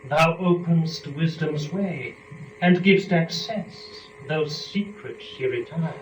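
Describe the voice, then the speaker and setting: A man performing as a young, scheming, rapturous first woman in a measured, theatrical dramatic verse reading. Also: on the high side. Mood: sly, calculating. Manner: measured, theatrical, deliberate phrasing. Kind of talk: dramatic verse recitation of epic poem. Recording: noisy, dull, echoey room from a distance.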